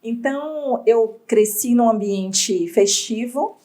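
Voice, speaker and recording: A woman from Bahia, an entrepreneur telling her story in an interview, reflective and expressive. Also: a little high, slow. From Bahia, Brazil. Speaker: entrepreneur guest.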